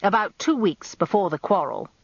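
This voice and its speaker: A woman voicing a gruff older male barrister husband, terse, dry and sardonic, in a radio drama. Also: medium pitch, sing-song, normal speed, no echo, dull audio, exaggerated voice. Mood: dry, curt.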